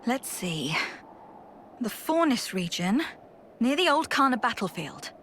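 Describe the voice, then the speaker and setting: An actress playing a playful, battle-hungry commander in a video game, with theatrical, teasing, jaunty delivery. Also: female voice, a little high, big pitch swings, unhurried, fairly bright tone, a little hiss or hum. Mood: breezy, eager. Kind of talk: video game cutscene acting.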